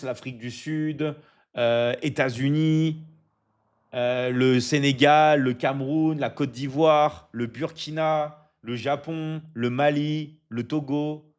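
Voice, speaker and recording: A man from France, hosting a business coaching live stream. He speaks casually and repeats himself a lot, full of fillers and hype, and keeps checking in with his viewers. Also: mid-range voice.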